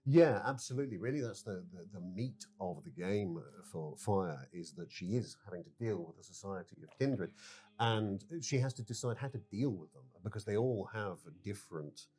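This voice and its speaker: A man explaining a game as its developer in an interview, halting and repeating words as he goes. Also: lowish voice, lively intonation, warm tone, slightly rough.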